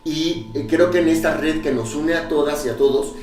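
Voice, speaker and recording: A man's voice: on the high side, moderate pace, echoey room, recorded from a distance. A man, a guest on a casual panel talk, chiming in with enthusiasm.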